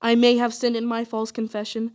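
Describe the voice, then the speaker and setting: A woman's voice: a little high, completely flat delivery, fairly quick, darkish tone, lightly acted. An actress playing a wrongly condemned young woman, pious and resigned, speaking earnestly and formally in a radio play.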